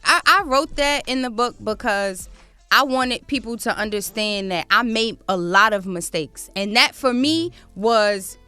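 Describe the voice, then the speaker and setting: A woman's voice: on the high side, a little slow, bright, forceful tone, clear, resonant tone, dry recording. A woman speaking casually as a guest on a radio show. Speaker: radio interview guest, author. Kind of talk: casual interview talk. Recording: dry studio sound, faint music behind.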